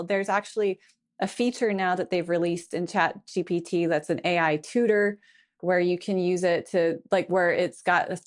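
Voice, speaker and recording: A woman guest, a tech consultant, explaining her points thoughtfully in a conversational podcast interview. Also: medium pitch.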